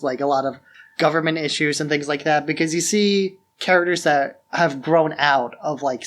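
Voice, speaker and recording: Male podcast co-host with a slightly high voice, casual, thoughtful film analysis. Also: a bit bright.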